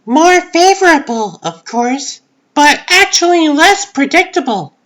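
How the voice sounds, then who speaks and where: A clinical young female scientist from a comic book drama, voiced by a woman. She is high-pitched and takes her time, laying things out in a precise, matter-of-fact and confident way. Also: lively intonation, a bit bright. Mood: analytical, thoughtful. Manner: matter-of-fact, measured, analytical. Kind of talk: comic book dub, scripted dialogue.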